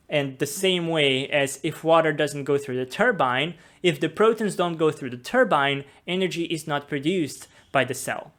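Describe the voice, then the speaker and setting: A young man from Brazil, a podcast host, patiently explaining science in plain steps before an interview. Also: fairly high pitch. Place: office room with a table. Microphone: desk mic on a boom arm.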